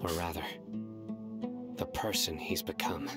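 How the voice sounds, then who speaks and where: A solemn young judge speaks in short, measured sentences in a very low voice. The lines sit in game audio under loud background music. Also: male voice, steady tone. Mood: grave. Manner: grave, measured, terse. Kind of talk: game voice acting.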